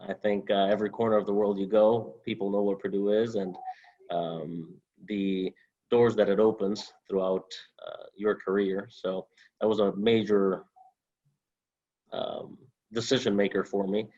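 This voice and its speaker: A young man from Honduras, a former student, answering interview questions over a video call in a halting way with many fillers. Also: on the low side, little pitch movement, a little mellow, highs cut off. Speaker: alumnus guest.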